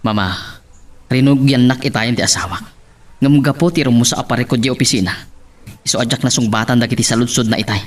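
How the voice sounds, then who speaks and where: A man's voice: mid-range voice, fast talker, lightly acted. A man with an Ilocano accent playing an evasive, anxious young husband in a romance audio drama, stammering and hesitant. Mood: defensive. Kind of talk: amateur Ilocano radio-style romance drama acting.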